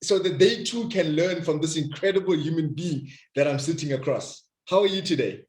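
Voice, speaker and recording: Enthusiastic man hosting a video call interview, fairly high voice, echoey room. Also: brisk, darkish tone. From South Africa. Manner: effusive, enthusiastic, some stammered restarts. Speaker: host. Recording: roomy echo.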